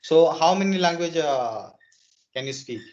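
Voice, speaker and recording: A man from Odisha, India, with an Odia accent and Odia as his native language, chatting casually in halting, repetitive English over a lo-fi call. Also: fairly high pitch, lively intonation, darkish tone. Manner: halting, deliberate, repeats phrases. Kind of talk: casual chat. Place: video call. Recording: dull lo-fi video call.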